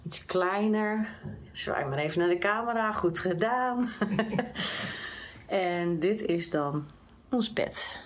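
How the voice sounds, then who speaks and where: A woman's voice: lowish voice, animated, takes it slowly, long pauses. A middle-aged woman giving a plain show-and-tell tour of a small hotel room. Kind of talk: casual travel vlog.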